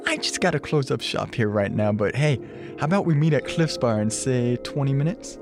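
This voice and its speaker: A man's voice: medium pitch, animated, brisk, over music, close to an everyday voice. A man acting a laid-back, chummy new-age yoga teacher in his late twenties in a radio drama, slangy and effusive. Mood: warm, welcoming. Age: late 20s.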